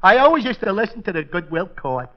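An actor playing a gentle, dim-witted New man, guileless and hesitant, in a 1940s radio comedy. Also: high voice, big pitch swings, fairly quick. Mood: guileless, cheerful. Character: gentle, dim-witted, good-natured male dog walker. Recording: dull, lo-fi 1940s radio recording.

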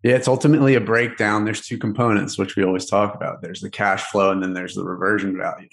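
A man's voice: fairly low pitch, little pitch movement, fast talker, creaky, echoey room. A man, a podcast guest, explaining investing loosely with many fillers. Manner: loose, filler-heavy, "you know". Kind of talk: casual explanatory conversation.

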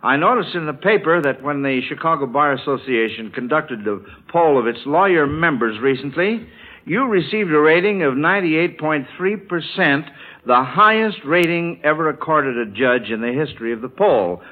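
A man's voice: medium pitch, narrow, tinny audio. 1940s American radio quiz host, male. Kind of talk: 1940s radio show hosting, scripted announcing. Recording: old 1940s radio broadcast.

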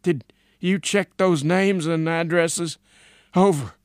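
A man voicing an earnest, weary army major giving halting, deliberate radio messages in an audiobook story. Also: on the high side, no room sound, studio quality, voice pushed up. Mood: insistent.

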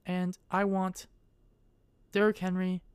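A young adult woman vlogging in a casual, rambling way. She speaks in a monotone and hesitates often, with many fillers. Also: lowish voice, slow, smooth, dry recording.

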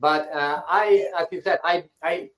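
A man's voice: a little high, unhurried, fairly bright tone. Echoey man, thoughtful. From Sweden. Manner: thoughtful, repeats words as he restarts. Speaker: guest speaker. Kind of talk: conversational interview answers. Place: rooftop of his island house. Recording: echoey online audio.

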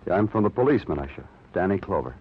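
An actor playing a dry, patient, world-weary police detective in an old radio noir, speaking in a laconic deadpan. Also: male voice, low voice, fast, breathy edge, full character voice. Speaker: lead actor and narrator. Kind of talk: 1940s-50s radio noir acting. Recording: muffled, noisy old radio recording.

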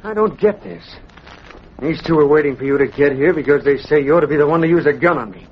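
An actor playing a defiant young athlete held captive, earnest and indignant, in a 1940s radio drama. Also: male voice, a little high, fast, husky. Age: young adult. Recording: muffled, hissy old radio recording.

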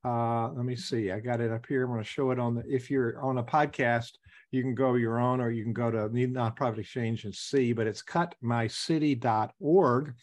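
A man's voice: medium pitch, steady tone, moderate pace. A man from the American South hosting a podcast interview in a chatty, enthusiastic way. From Southern United States. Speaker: podcast host.

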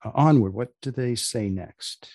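A man hosting a podcast interview, with a deliberate, deadpan way of asking and presenting. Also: mid-range voice, no echo, clean studio sound. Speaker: podcast host and magazine editor. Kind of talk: podcast interview with scripted introduction.

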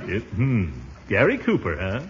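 Casting: blustery, vain American uncle for old-time radio comedy, very animated pitch, muffled noisy recording. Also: male voice, measured pace, crowd noise. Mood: flattered, bashful. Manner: pompous, theatrical, hemming and hawing. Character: blustery, vain, easily flattered middle-aged uncle, courting awkwardly.

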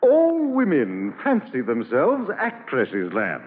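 An actor playing a pompous, gloomy old English stage actor, declaiming florid verse in radio drama style. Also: male voice, mid-range voice, wildly swooping pitch, slow. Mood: lofty, reassuring. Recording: dull old radio recording, long reverb.

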